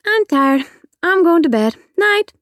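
A woman's voice: very high voice, lively intonation, slow pace, dry recording, studio quality, voice pushed up, full character voice. A woman voicing a chatty, blunt little girl of about five in an audiobook, full of childlike questions. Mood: matter-of-fact. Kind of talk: audiobook character voice.

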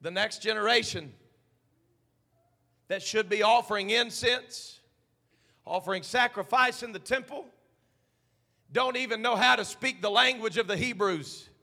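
A male pastor preaching a sermon, swinging from hushed asides to shouted climaxes. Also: high-pitched, unhurried, vocal fry, long pauses.

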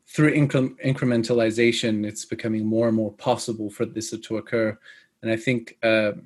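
A man in his thirties from Australia, with an Australian accent that sounds close to British, speaking as a guest on an interview show, measured and pausing often. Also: fairly low pitch. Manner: measured, with many pauses and fillers. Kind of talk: podcast interview.

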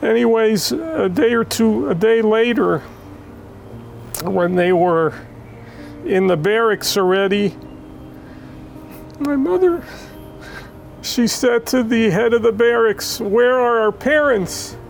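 A woman with an American accent giving emotional family testimony in an interview, tearful, telling it in a halting, emphatic way. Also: fairly high pitch, expressive, vocal fry, a little hiss or hum. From born in Israel, raised in Los Angeles. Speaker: interview guest, child of Holocaust survivors.

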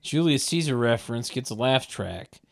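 Sardonic man narrating with lively pitch swings. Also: moderate pace, vocal fry. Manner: sardonic, mock-announcing. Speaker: table read narrator. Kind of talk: stage directions with sarcastic comic asides.

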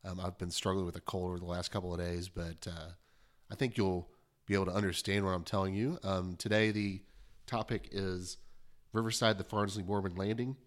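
Man, host, low-pitched, stuffy from a cold, friendly self-deprecating chat. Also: fairly even intonation, fairly quick. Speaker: podcast host. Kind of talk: hosting an interview.

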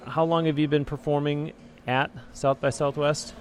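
A man with a deep baritone voice, hosting a podcast interview in a measured, polished way. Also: flat delivery. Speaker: podcast host. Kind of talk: interview and host-read ad. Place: remote location. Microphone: handheld recorder with external mics.

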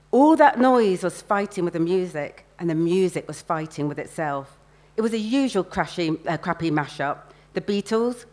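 A woman, an author reading first-person fiction aloud expressively at a live event. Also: fairly low pitch.

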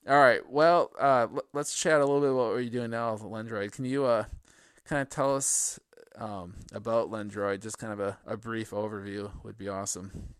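A man's voice: on the low side, steady tone, moderate pace. A man hosting a podcast interview, asking friendly questions with many fillers. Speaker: podcast host.

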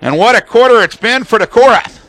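A man with an American accent calling live football play-by-play on the radio in an animated, clipped way from a press booth. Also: very high voice, fairly quick, no room sound. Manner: animated, clipped phrasing, shouts on big plays.

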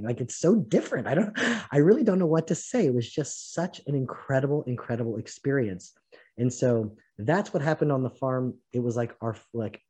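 A man's voice: mid-range voice, mellow, husky. A man hosting a live online fellowship, talking casually and rambling with lots of fillers. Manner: rambling, many "like" fillers, laughs easily. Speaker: fellowship host.

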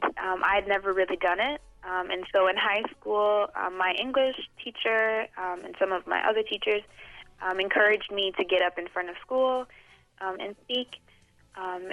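A young woman from Boston, a poet being interviewed, speaking in a measured, thoughtful way with frequent hesitant "um"s. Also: mid-range voice, steady tone, gravelly, a bit bright, no room sound. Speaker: guest poet. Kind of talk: interview conversation. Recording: dull lo-fi dry recording.

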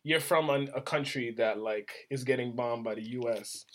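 A man's voice: medium pitch, normal speed, fairly bright tone. A young man co-hosting a podcast in casual chat, laying out points and rambling through hypothetical examples. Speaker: podcast co-host.

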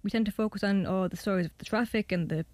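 A woman's voice: mid-range voice, fast, darkish tone, no room sound. A young Irish woman talking thoughtfully about her documentary and narrating it. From Ireland. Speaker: guest and documentary maker. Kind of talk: interview chat and scripted narration.

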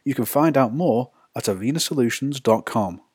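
A man with a mid-pitched voice hosts an interview podcast. He speaks close to the microphone in a dry space with no room sound. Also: expressive, normal speed, darkish tone, husky, no echo. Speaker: podcast host. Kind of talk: scripted introduction and interview questions.

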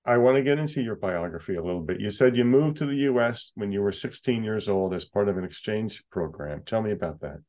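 Friendly male interviewer, halting delivery, video call. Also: lowish voice, lively intonation, darkish tone. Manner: friendly, halting, many restarts and "uh"s. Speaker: interviewer, associate editor. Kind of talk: casual interview conversation.

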